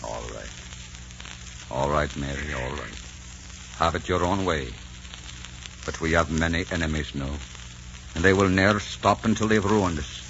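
World-weary romantic adventurer, deep voice, grave delivery, dull noisy vintage radio sound. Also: male voice, a little slow, vocal fry, pauses often, a bit bright, full character voice. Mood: resigned. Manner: grave, ardent, dramatic. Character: brooding, world-weary male adventurer soldier in love. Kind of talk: 1940s radio romance acting.

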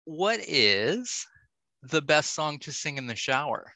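A man hosting a casual interview, asking questions in a measured, encouraging way. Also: fairly high pitch, big pitch swings, pushed and bright, dry recording. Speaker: podcast host, interviewer.

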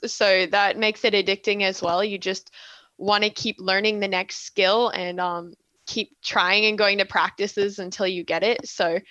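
A woman's voice: mid-range voice, fairly bright tone, no room sound, muffled recording. A woman in her twenties with an Australian accent tinged with Canadian, chatting as an interview guest, laughing often between fillers. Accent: Australian-Canadian blend. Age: 20s. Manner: giggly, chatty, many fillers. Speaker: guest, synchronized swimmer. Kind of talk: casual interview chat.

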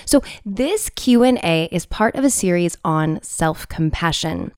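A young adult woman hosting a podcast, chatty and casual, saying "like" a lot, slightly raspy from a cold. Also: fairly low pitch, lively intonation, a little slow, darkish tone, dry recording, studio quality. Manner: chatty, uses "like" a lot, self-deprecating. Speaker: podcast host.

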